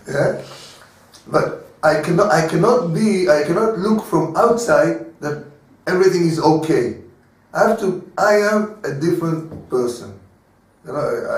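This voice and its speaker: A rabbi teaching a Torah class, telling stories and acting out the voices of the people in them. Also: male voice, medium pitch. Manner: storytelling, acting out voices in dialogue. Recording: very echoey room, mic far away.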